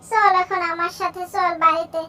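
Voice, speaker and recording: Woman playing protective cartoon mother, very high thin pitch, coaxing, worried, faint background noise. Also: steady tone, moderate pace, bright, forceful tone. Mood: coaxing, caring. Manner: coaxing, fussing. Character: doting, worried, protective village mother of grown farmer. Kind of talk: amateur cartoon voice acting.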